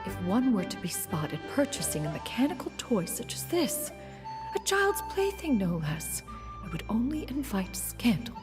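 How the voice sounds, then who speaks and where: A woman's voice: mid-range voice, very animated, moderate pace, over music, lightly acted. An actress voicing a proud, ancient female scholar in a video game, speaking in a formal, archaic, aloof way. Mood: brisk, haughty. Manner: formal, archaic, aloof speech, saying "one" for herself. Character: proud, haughty ancient female scholar-inventor posing as mortal. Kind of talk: scripted video game character dialogue.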